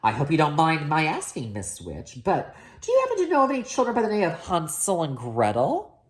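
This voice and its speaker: A woman's voice: sits low, huge pitch swings, fairly quick, pitched above the performer's own voice. A woman voicing a hapless young storybook prince, earnest, polite and flustered, often pleading. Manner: polite, flustered, repeats himself.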